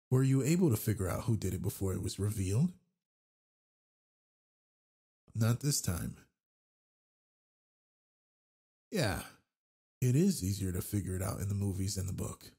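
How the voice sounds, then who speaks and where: A man playing a big, gentle, flirty werewolf pack alpha in a romantic audio roleplay, husky and gravelly, talking in a soft, teasing way. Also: on the low side, exaggerated voice. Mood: friendly, charming.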